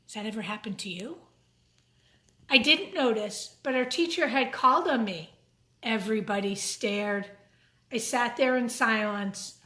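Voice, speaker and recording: A woman's voice: on the high side, a bit bright. A woman reading a picture book aloud to children, expressively, with simple asides to her young listeners. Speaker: storytime reader, teacher. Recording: roomy, echoing room sound.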